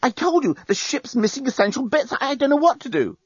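Guy doing a panicky panting journalist in a space story, high voice, all over the place. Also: big pitch swings, brisk, husky, no room sound, lo-fi sound, heavily acted. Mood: frantic. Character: frantic, breathless male journalist in a space crisis.

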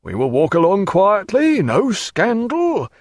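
A man's voice: sits high, huge pitch swings, measured pace, darkish tone, no room sound, studio quality, voice pushed up, full character voice. A man voicing a polite, officious bailiff who arrests a captain with soothing assurances, in a storybook reading.

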